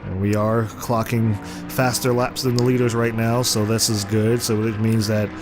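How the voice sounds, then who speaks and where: Hoarse male gaming commentator with a congested voice, casual play-by-play, loud game music and engines behind him. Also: fairly low pitch, normal speed. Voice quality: rough, congested voice. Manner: excited play-by-play, rising sharply at crashes. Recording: game music and car noise underneath. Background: racing game engine noise and music.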